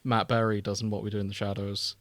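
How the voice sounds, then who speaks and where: A man's voice: on the low side, flat delivery, fast, no room sound. A man co-hosting a podcast, chatting casually about a TV series in an informal, sweary, wry way. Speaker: podcast co-host.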